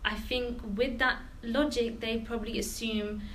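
A woman's voice: fairly high pitch, steady tone, measured pace, a little hiss or hum. A woman in casual podcast chat, talking in a reflective, questioning way. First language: Urdu.

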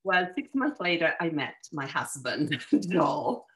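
A woman's voice: low voice, very animated, slow pace, a little hiss or hum. A woman in her forties from Sicily with an Italian accent, talking through her career as an interview guest with frequent restarts. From Sicily, Italy. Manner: warm storytelling with frequent restarts. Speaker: real estate agent, guest. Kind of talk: casual interview chat.

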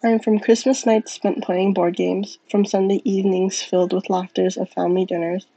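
A woman's voice: mid-range voice, flat delivery, normal speed, mellow, husky, dry recording. A teenage girl reading her own poem aloud steadily, on a muffled lo-fi recording. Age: teens. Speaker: student.

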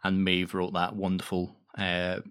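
A man speaks as a guest in a casual interview. His voice is very low, and he talks in a halting, hesitant way. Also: completely flat delivery, a bit bright, smooth. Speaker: podcast guest, audio drama creator.

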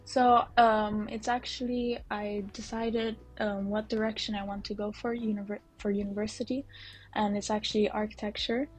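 A woman's voice: medium pitch, level tone, normal speed, breathy edge. A fifteen-year-old girl answering interview questions briefly and matter-of-factly. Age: teens. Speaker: student guest.

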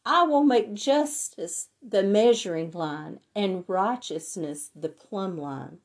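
A woman with a hoarse, raspy voice from laryngitis, teaching a Bible lesson and reading scripture in a deliberate way. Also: medium pitch, expressive.

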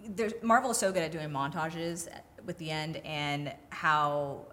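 Low-voiced woman, casual and effusive. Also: a little slow, a bit bright. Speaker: podcast co-host. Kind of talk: casual movie discussion. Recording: faint background noise.

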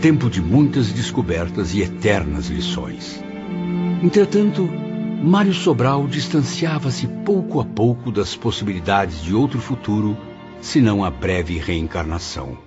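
A man's voice: lowish voice, sing-song, a little slow. An actor with a Brazilian accent playing a penitent spirit who earnestly recounts his memories in a theatrical radio drama. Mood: earnest recollection. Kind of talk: radio drama first-person narration. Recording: dull audio, music behind.

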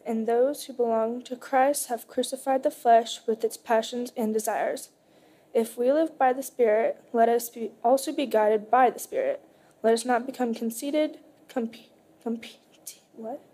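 A woman's voice: a little high, dark tone. A woman reading a scripture passage aloud to a congregation. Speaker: scripture reader.

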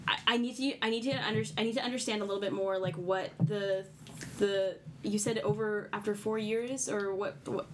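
A woman's voice: medium pitch, fairly even intonation, a little fast, slight background noise. A woman hosting a casual round-table podcast, rambling and laughing, with lots of fillers. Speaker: podcast host. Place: indoors around a table with friends.

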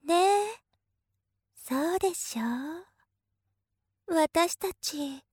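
A woman's voice: extremely high pitch, extremely slow, dry recording, studio quality, full character voice. A woman playing an obsessive, possessive big sister in a voice drama, coaxing sweetly with an unhinged edge. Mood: pleading, clingy. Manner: clingy, coaxing, slipping into intense whispers. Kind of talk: Japanese situation voice drama acting.

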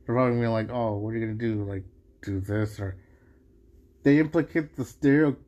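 A man's voice: on the low side, brisk, warm tone. Rambling man from Mexico, solo podcast. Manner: rambling, many restarts and asides. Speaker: podcast host. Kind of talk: casual solo podcast monologue.

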